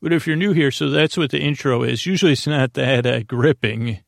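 A man hosting a bedtime podcast in a rambling monologue, halting and meandering as he stammers, droning along and interrupting himself. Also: creaky, no echo, clean studio sound. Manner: halting, meandering, self-interrupting, often stammers over words; droning, low-stakes delivery. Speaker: podcast host.

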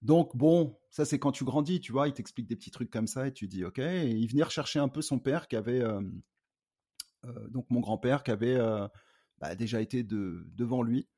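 A man from the Paris suburbs talking casually as an interview guest, in a colloquial, halting way. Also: medium pitch, mellow, slightly rough. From Paris suburbs, France. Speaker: guest, rap artist.

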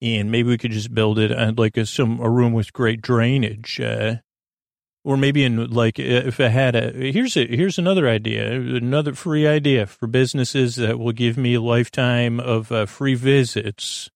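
A man hosting a bedtime podcast in a casual, meandering monologue, stammering and halting as he keeps drifting off topic. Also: mid-range voice, fairly even intonation, vocal fry, no room sound, clean studio sound. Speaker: podcast host.

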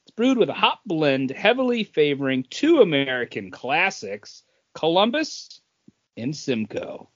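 A man's voice: a little high, very animated, measured pace, no echo. A man co-hosting a podcast in a casual, bantering conversational style. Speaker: podcast co-host. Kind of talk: casual chat. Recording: dull lo-fi dry close recording.

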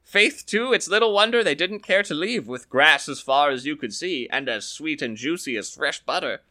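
A man's voice: on the high side, lively intonation, normal speed, sharp tone. Field: a man reading Donald, a sly trickster. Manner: wheedling, boastful. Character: sly clever young Irish farmer trickster.

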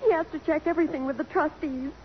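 A woman's voice: high voice, quick pace, natural voice. An actress playing an emotional, idealistic young college girl, heartfelt and breathless, in 1950s radio drama style. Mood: anxious. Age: young adult. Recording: dull, faintly noisy old radio recording.

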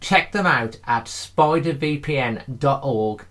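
Brief: man doing a scripted sponsor read, promotional, mid pitch. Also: measured pace, a bit bright.

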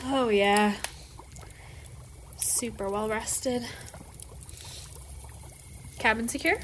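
Brash young werewolf, female, slightly high pitch, slow drawn-out pace, blunt swagger, audio drama. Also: a little hiss or hum. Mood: groggy, dry.

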